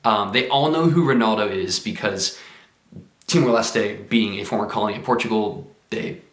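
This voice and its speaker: A man's voice: on the low side, moderate pace. A man talking casually to camera in a travel vlog, chatty and enthusiastic with lots of exclamations. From United States. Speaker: travel vlogger. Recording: roomy echo.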